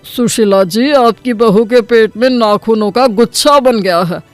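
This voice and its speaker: A man's voice: sits high, unhurried, soft background music. A man voicing a matter-of-fact hospital doctor in a cartoon moral story, firm and blunt.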